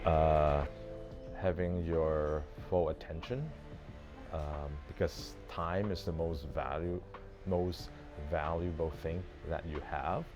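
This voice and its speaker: A man's voice: a bass voice, fairly even intonation, takes it slowly, dull audio, music in the background. A sixty-year-old man talking reflectively and hesitantly in an interview.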